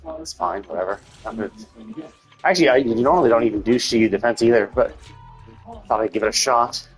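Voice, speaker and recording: A man with a husky, slightly rough voice at an ordinary male pitch, streaming a video game. He talks casually and off the cuff, rambling as he thinks through his options aloud. Music plays behind him. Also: brisk. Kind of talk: casual unscripted gameplay commentary.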